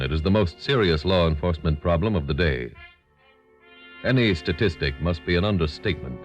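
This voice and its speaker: A man with an American accent reading formal, scripted narration for a mid-century radio program. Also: low-pitched. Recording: dull old radio recording. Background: music.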